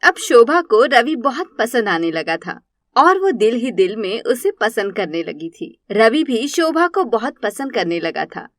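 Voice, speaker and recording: A woman's voice: fairly high pitch, sing-song, unhurried, no room sound, clean studio sound. A woman narrating a scripted story.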